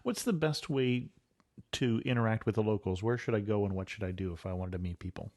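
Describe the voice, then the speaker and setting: A male podcast host with a fairly low voice who keeps his questions brief and curious. Between the guest's answers he adds short acknowledgements. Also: fairly quick, darkish tone. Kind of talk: interview questions.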